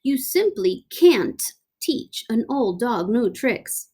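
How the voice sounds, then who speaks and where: A learned mouse professor from a children's storybook, voiced by a woman. The scientist speaks in a raised pitch, slowly and solemnly, explaining with grave care. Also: big pitch swings, a little mellow, exaggerated voice.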